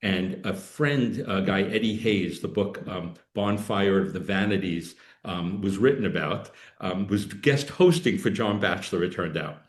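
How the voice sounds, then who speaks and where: The sound is noticeably echoey. A mature man from the United States talks in a fairly low voice as a podcast guest, telling anecdotes casually and rambling with frequent halts. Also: unhurried, hardly any pauses. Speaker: podcast guest, political analyst. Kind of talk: casual interview talk.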